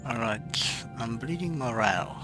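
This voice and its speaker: Man, casual gameplay commentary, slow unhurried talk, loud game music behind. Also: mid-range voice. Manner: thinks aloud, slow with pauses, murmurs half to himself. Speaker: gamer.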